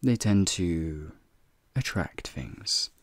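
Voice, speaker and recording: A man voicing a flirty, teasing male werewolf in an ASMR roleplay, with a deep, gravelly, husky voice and folksy slang. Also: expressive, extremely slow, no room sound, studio quality, exaggerated voice. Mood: ominous, hushed. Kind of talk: ASMR roleplay, speaking to the listener.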